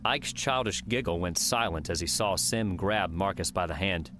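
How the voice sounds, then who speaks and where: A man's voice: sits low, normal speed, background noise. A man reading scripted fiction narration as an audiobook narrator.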